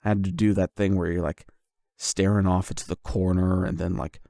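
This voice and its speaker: A male performer plays a bashful young man opening up to his online friend in an intimate audio roleplay. His voice sits low with a crackly fry, and he talks hesitantly, circling his point nervously. The sound is dry and close. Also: level tone, moderate pace, dark tone, no room sound. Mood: embarrassed. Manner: hesitant, rambling, nervous chuckles. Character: shy, flustered young man confessing love to online friend. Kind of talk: ASMR boyfriend roleplay, intimate monologue.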